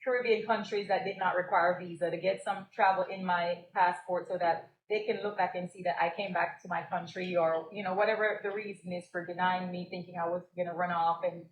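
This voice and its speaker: A woman's voice: fairly low pitch, fairly even intonation, brisk, hardly any pauses, echoey room, low-quality recording. Jamaica-raised woman telling her story. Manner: storytelling, laughs often. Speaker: guest, business co-owner. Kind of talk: live podcast interview. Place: stage at a live event.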